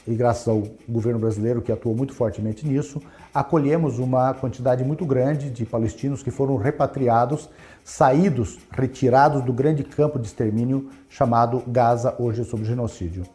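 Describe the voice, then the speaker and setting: A man's voice: medium pitch, mellow. A Brazilian-born man who presides over an advocacy federation, giving a firm, formal statement. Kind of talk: statement to camera.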